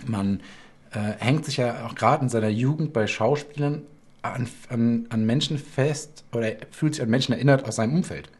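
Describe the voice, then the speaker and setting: A man in his forties hosting a casual interview over a video call from a bright home room, chatty and rambling, laughing often. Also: mid-range voice, normal speed, breathy edge. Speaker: podcast host. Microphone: video call, webcam close-up.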